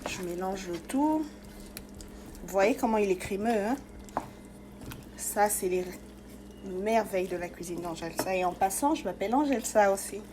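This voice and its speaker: Brief: woman cooking-channel host from Congo-Kinshasa, mid pitch, casual step-by-step chat, faint kitchen noise. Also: animated, moderate pace, long pauses. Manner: chatty, step-by-step, many fillers.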